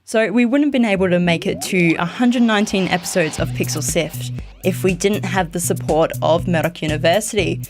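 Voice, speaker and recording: Low-pitched woman hosting a podcast. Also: a little fast, music in the background. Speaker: podcast host. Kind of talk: podcast sign-off.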